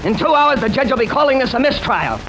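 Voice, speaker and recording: A man's voice: extremely high pitch, very animated, quick pace. An actor playing an irritable, domineering American jury foreman, sarcastic and blustering in 1940s radio drama style. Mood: scornful. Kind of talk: 1940s radio mystery acting. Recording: noisy vintage radio recording.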